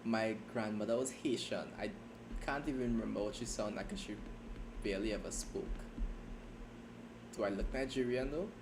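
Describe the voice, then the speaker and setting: A man chatting casually on a writing livestream, rambling and self-deprecating, talking in halting starts. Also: fairly low pitch, noisy background. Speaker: streamer and fan fiction writer. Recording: keyboard typing audible behind voice.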